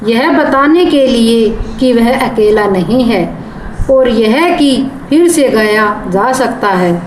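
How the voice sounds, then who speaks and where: Woman teacher, unhurried, keeps rephrasing and glossing words, echoey room with background noise. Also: fairly high pitch, expressive. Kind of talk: explaining a poem line by line.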